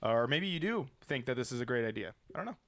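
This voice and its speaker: A man's voice: medium pitch, sing-song, fast talker. A man hosting a casual show, moving between announcer-like flourishes and playful, teasing banter. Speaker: video game show host.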